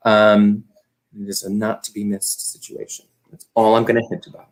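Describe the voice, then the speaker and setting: A man's voice: fairly low pitch, little pitch movement, normal speed, low-quality recording. A man speaking as an interview guest, a community theater director, chatting casually and explaining things with plenty of filler words.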